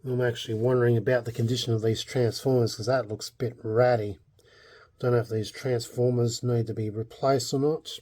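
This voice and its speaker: Australian man, soft dark timbre, mid-pitched, casual hobby vlog narration, hesitant, meandering, trailing thoughts. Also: fairly even intonation, fairly quick. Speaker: hobbyist vlogger. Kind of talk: casual unscripted show-and-tell narration.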